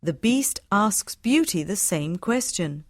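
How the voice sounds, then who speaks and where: A woman reads a simple fairytale as scripted narration. Her pace is slow and measured, with short phrases set apart for learners. Her pitch sits in the middle of the female range. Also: expressive, no echo, studio quality. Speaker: storyteller.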